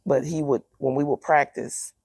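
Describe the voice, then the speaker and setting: A woman's deep, raspy voice with a gravelly crackle, recorded close and dry. She is middle-aged and speaks candidly and expressively, like a guest sharing her own story in a relaxed interview. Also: normal speed, no room sound.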